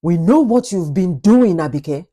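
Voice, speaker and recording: Female storyteller as a desperate young wife: earnest, pleading, determined, mellow voice, for folktale drama. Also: lowish voice, expressive, unhurried. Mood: accusing.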